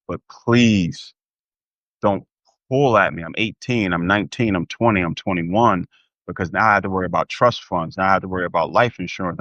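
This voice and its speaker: An American man with a low-pitched voice talks as an interview guest. He is conversational and emphatic, slipping into quoted speech to drive points home. The sound is close and dry. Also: a bit bright, dry recording, highs cut off. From United States. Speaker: interview guest, former pro athlete. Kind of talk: animated personal conversation.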